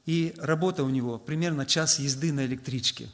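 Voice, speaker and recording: A man's voice: medium pitch, a little fast. A man preaching a sermon to his congregation, telling stories in a loose conversational way full of filler words. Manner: conversational, anecdotal, frequent filler "vot".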